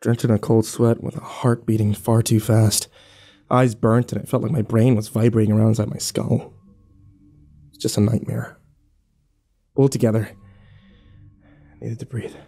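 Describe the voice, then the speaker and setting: A man's voice: lowish voice, level tone, normal speed, vocal fry, long pauses, dark tone. An actor playing a weary, haunted, cynical man who broods and swears through a first-person horror audio drama. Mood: shaken, grim. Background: music behind the voice.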